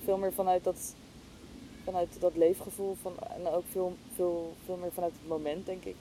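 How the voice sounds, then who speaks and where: Woman thinking out loud in a casual chat, kinda hesitant, mellow voice, rumbly noise behind her. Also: little pitch movement, moderate pace, poor audio. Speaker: interview guest, podcast hostess. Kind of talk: casual, reflective conversation. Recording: clear low rumbling background noise.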